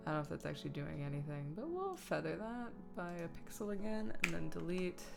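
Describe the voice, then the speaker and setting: A woman's voice: lowish voice, animated, a little fast, creaky, music in the background. A woman streamer chatting casually while she works at a computer, talking through small tasks in short asides. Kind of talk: casual livestream chat while editing images.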